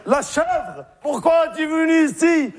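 A man voicing a distraught goat owner who cries out in high, pleading outcries, in a stand-up routine. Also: expressive, a little slow. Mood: frantic. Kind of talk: stand-up storytelling.

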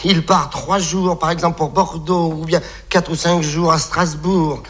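A man's voice: fairly high pitch, fairly even intonation, unhurried, a bit bright. A man playing a fretful wife on the phone. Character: anxious middle-aged wife phoning a doctor.

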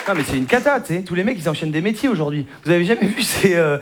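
Stand-up comic, male, higher voice, quick slangy mockery, live club crowd laughing behind. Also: expressive. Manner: quick, mocking, slangy, acts out characters. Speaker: stand-up comedian. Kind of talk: stand-up comedy set. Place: comedy club stage with audience.

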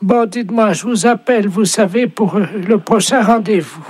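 A man's voice: sits high, moderate pace, husky, dry recording. A man voicing a hard-of-hearing, chatty and stubborn old lady in a radio prank phone call, fussy and sweetly condescending.

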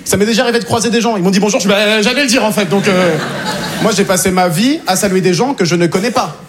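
A male stand-up comedian with a high voice talks quickly on stage. His delivery is casual and slangy, with repeated phrases. An audience is clearly heard in the live room. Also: a crowd in the background.

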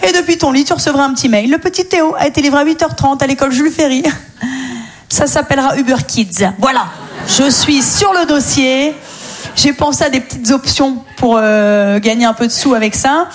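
A woman's voice: sits high, fairly quick. A woman in her thirties doing a stand-up routine on a club stage, wry and self-mocking. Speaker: stand-up comedian.

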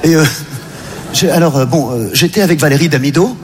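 A man doing absurd stand-up before a live audience, talking in halting bursts full of fillers. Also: a little high, heavy background noise. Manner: hesitant, halting with many fillers. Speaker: stand-up comedian. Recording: live hall recording aired on radio.

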